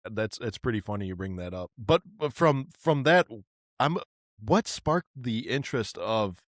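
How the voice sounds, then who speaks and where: A man's voice: on the low side, animated, a little slow, no room sound, clean studio sound. A man hosting a podcast in casual chat, stammering and repeating words as he builds his questions. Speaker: podcast host.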